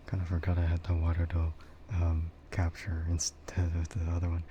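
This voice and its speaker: A soft-spoken man streaming casual, rambling ASMR, often slipping into a half-whisper close to the microphone. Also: low voice, monotone, moderate pace, lo-fi sound, background noise. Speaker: VTuber livestreamer.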